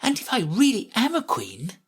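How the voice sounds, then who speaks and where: A woman's voice: on the high side, huge pitch swings, moderate pace, slightly rough, voice pushed up, exaggerated voice. A woman voicing an eager, polite, earnest little girl who likes to argue, in a children's storybook. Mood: self-important.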